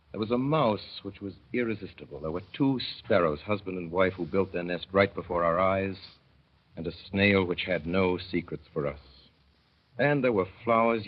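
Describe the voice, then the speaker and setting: Deep husky man narrating old radio drama. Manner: wry, mournful storytelling, slowing to dwell on sad images. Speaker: narrator and father. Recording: dull old radio broadcast.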